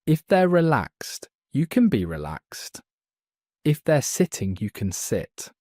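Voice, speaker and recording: A man teaching a beginner English lesson, speaking slowly and clearly so learners can follow. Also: mid-range voice, sing-song, warm tone, dry recording, clean studio sound. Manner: slow, clear, deliberate delivery for learners. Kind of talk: slow scripted lesson narration.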